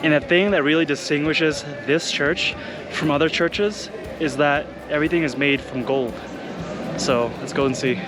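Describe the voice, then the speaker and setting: A man's voice: a little high, normal speed. Chatty man vlogging over music. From Thailand. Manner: chatty, loose, full of "like" and "you guys". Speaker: travel vlogger. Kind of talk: casual travel vlog. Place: outdoors in city streets.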